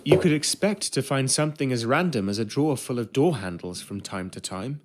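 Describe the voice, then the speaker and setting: An actor playing a polite, earnest young ship's steward in a period video game, speaking in articulate, measured words. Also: male voice, mid-range voice, lively intonation, heavy background noise, lightly acted. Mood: reflective, wry. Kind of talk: video game character acting and first-person narration.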